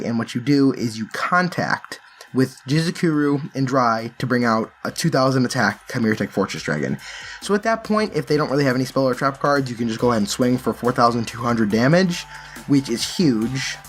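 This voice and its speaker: A man's voice: mid-range voice, animated, a little fast, fairly bright tone, soft background music. A man giving a casual, explanatory walkthrough as a card game player presenting a deck.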